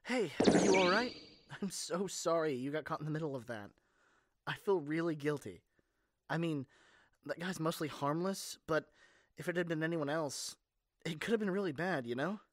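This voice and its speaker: A man's voice: fairly high pitch, moderate pace, no echo, clean studio sound, close to an everyday voice. A man playing a caring but cocky young superhero in an audio roleplay, speaking in an earnest, casual, teasing way. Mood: concerned. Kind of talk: amateur ASMR audio roleplay.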